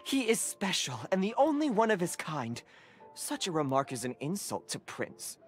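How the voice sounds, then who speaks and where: A man's voice: sits high, wildly swooping pitch, normal speed. A man playing a grandiose, formal and reverent disciple who translates for a cat in a video game. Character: pompous, devoted male card-game disciple translating for a cat. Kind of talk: video game cutscene acting. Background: game music.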